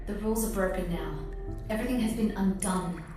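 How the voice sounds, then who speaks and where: An actress playing an earnest, worried companion to an old professor in an audio drama, speaking plaintively. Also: female voice, medium pitch, a little fast, dark tone, natural voice. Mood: wary, urgent. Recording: very echoey, with music underneath. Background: music.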